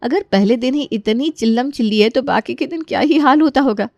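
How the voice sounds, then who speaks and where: Expressive woman storyteller, dry studio sound. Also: high voice, fairly quick, creaky, no room sound, highs cut off. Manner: expressive, conversational. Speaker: narrator. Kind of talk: animated Hindi storytelling narration.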